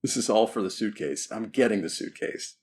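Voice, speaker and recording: A man chatting casually and haltingly as a player at a tabletop game. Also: fairly low pitch, slightly rough.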